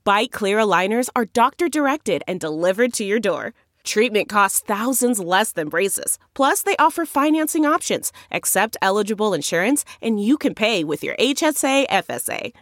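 A woman's voice: mid-range voice, sing-song, moderate pace, a bit bright, no echo, clean studio sound. A woman reading an upbeat advertisement. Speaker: ad announcer. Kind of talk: upbeat ad read.

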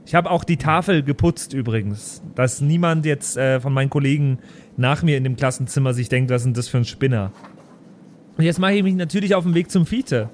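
A man's voice: medium pitch, brisk, a little hiss or hum, natural voice. A man playing an earnest, worn-out schoolteacher who rambles, in an improvised tabletop audio play. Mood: exhausted. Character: Thomas, frazzled, earnest male schoolteacher.

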